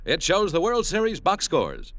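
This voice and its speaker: Old radio ad guy, high lively voice, selling hard. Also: normal speed. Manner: upbeat salesman pitch. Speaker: commercial announcer. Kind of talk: 1950s radio ad read.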